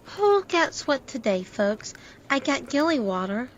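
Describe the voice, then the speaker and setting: Friendly, chatty landlady, played by a woman, high-pitched, on a dull recording with faint noise. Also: big pitch swings, measured pace. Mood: welcoming. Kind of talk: amateur audio drama acting.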